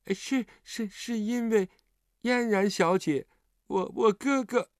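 男声扮演的高音倔强委屈小男孩. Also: male voice, high voice, expressive, no echo, clean studio sound, voice pushed up. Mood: timid, halting. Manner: halting, stammering, plaintive. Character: stubborn, grieving orphan little boy, sulky and timid.

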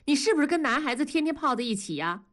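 A woman voicing an aggrieved, suspicious wife and mother who nags and is quick to accuse, in an audio drama. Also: high-pitched, very animated, fairly bright tone, voice pushed up. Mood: angry, scolding.

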